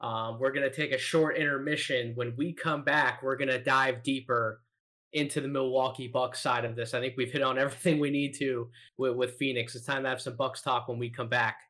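A man hosting a sports podcast, his voice bright and forward. He talks in an upbeat, casual way and stumbles over repeated words when he restarts. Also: medium pitch, steady tone, a little fast. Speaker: podcast host.

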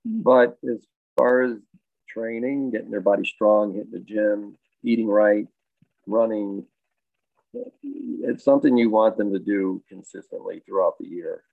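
A man with a low, gravelly voice, talking like a high school baseball coach who circles his points with fillers and restarts. The sound is thin and phone-like, and the room is dry with no echo. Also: unhurried. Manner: thoughtful, rambling with many fillers and restarts. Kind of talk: casual interview answers. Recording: dry, tinny phone-like line.

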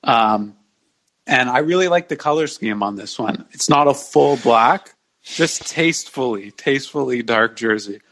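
A man speaking as a podcast guest in casual sports discussion, with measured phrasing like someone announcing a countdown. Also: mid-range voice, expressive, a bit bright.

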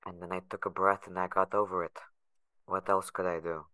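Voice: low voice, little pitch movement, fairly quick, sharp tone, no echo. An actor playing a sardonic, guarded young adult patient held in a facility, narrating in the first person with bitter sarcasm. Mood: bitter, subdued.

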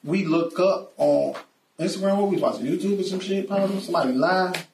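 Slangy Chicago host, echoey and distant. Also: male voice, high-pitched, a little fast, a little mellow. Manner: slangy, laughing, loose. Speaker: podcast host. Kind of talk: casual podcast chat. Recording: roomy, distant mic with light noise.

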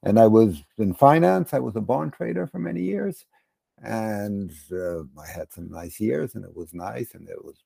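A middle-aged man from the United States with a gravelly, husky voice, explaining casually as an interview guest and often rephrasing himself. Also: lowish voice, expressive, normal speed, mellow, no echo. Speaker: podcast guest, crypto educator and former bond trader.

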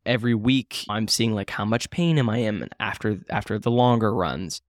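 Low-voiced man in his twenties, rambling. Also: normal speed, no room sound, clean studio sound. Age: 20s. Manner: rambling, self-deprecating, frequent fillers and restarts. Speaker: podcast co-host. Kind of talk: casual chat.

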